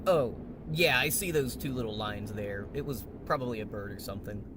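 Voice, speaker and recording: A man's voice: medium pitch, brisk, close to an everyday voice, rough recording. A man playing a cocky, dismissive young athlete, slangy and domineering, in an audio drama. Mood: breezy, dismissive. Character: cocky, dismissive young athlete bullying his nervous teammate.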